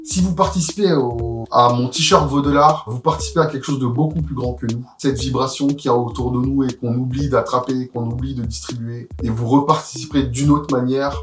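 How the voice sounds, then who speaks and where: A man's voice: mid-range voice, steady tone, a little fast, hardly any pauses, roomy sound. A man in his forties from Paris, France, answering casual interview questions about his own creative work. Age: 40s. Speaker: interviewee, musician and graphic artist.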